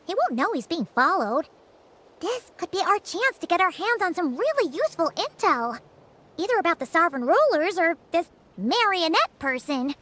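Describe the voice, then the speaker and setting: Squeaky, very high childlike fairy sidekick. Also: female voice, big pitch swings, normal speed, fairly bright tone, full character voice. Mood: curious, puzzled. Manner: chirpy, exclamatory. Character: small excitable childlike floating fairy companion, curious and chatty. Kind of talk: video game voice acting.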